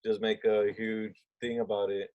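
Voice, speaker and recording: A man's voice: lowish voice, little pitch movement, moderate pace, a little mellow, highs cut off. A man hosting a music podcast, asking questions casually and hesitantly, with many fillers and restarts. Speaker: podcast host. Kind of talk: casual interview.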